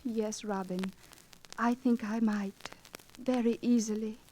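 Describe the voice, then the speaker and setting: A woman plays a gentle, honorable young noblewoman in a 1940s radio drama. Her voice is fairly high, with refined, formal, archaic diction. The old recording carries faint noise and clicks. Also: fairly even intonation, a little slow, lightly acted, low-quality recording. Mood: tender. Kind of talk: 1940s radio romance acting.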